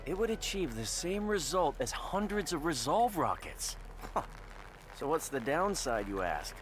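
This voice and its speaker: Friendly weary survivor, highish voice, animated pitch, wry, conversational game dialogue. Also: male voice, measured pace, soft background music. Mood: wry explaining.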